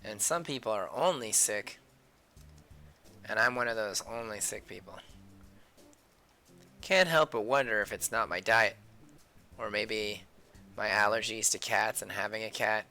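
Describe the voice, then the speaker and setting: A man live-streaming his sketching, mumbling his thoughts aloud as he draws, a little hoarse from a cold. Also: fairly low pitch, measured pace, pauses often, bright, forceful tone, faint music behind. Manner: mumbled thinking aloud, trailing off. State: slightly hoarse, losing his voice. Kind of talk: casual talk while drawing.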